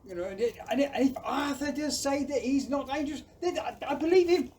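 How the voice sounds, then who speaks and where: An actor playing a hesitant, well-meaning father in an audio play, stammering and halting. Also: extremely high pitch, a little mellow. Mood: hesitant, earnest. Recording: distant mic with background noise.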